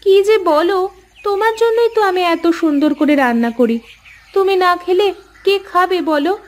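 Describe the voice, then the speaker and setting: A woman's voice: very high voice, lively intonation, normal speed. A woman voicing a sulky, nagging young village housewife in a cartoon, wheedling and complaining. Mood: fussing, affectionate. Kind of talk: cartoon voice acting in Bengali family story.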